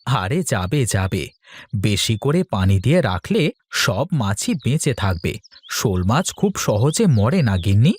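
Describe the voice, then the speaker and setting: Man voicing a lively, kindly village man. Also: on the low side, unhurried, breathy edge, full character voice. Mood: reassuring. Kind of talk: cartoon voice acting.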